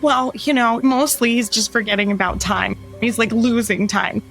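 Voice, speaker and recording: A woman playing a sweet, distraught working mother in an audio drama, speaking in an earnest, halting, fretful way. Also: fairly high pitch, steady tone, fairly bright tone, soft background music. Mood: worried, earnest. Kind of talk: immersive audio drama acting.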